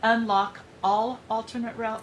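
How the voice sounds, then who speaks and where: A woman's voice: a little high, flat delivery, measured pace, slight background noise. A woman reading viewer comments aloud on a gaming livestream, friendly and matter-of-fact. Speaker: livestream chat reader.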